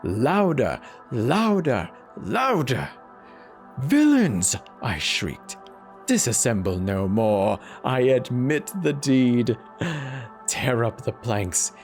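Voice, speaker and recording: A man voicing a guilt-racked, paranoid murderer in a theatrical, deliberate dramatic horror reading with drawn-out pauses. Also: medium pitch, sing-song, faint music behind, exaggerated voice. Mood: frantic, tormented. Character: guilt-racked madman murderer confessing in growing paranoid agitation. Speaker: storyteller.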